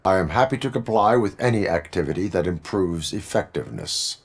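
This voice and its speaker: A stoic cyborg policeman in a video game. The voice is very low, and every line comes out clipped, formal and deadpan. He is dutiful and takes things literally. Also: brisk, a little hiss or hum. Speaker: police officer. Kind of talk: video game dialogue acting.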